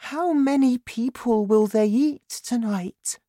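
Eager, high-pitched little girl. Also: slow, dark tone, dry recording, clean studio sound. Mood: upset. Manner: eager, exclaiming, dramatic. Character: excitable, determined little girl.

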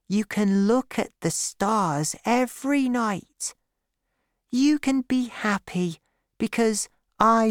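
A man voicing a gentle, sad little boy prince, speaking softly and earnestly. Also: sits high, clear, resonant tone, no echo, studio quality, voice pushed up, full character voice. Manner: soft, earnest, wistful.

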